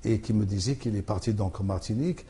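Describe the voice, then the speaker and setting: A man being interviewed about his books, speaking in a halting way with many restarts and fillers as he thinks aloud. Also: fairly low pitch, mellow, breathy edge. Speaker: author, interview guest. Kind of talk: hesitant conversational interview.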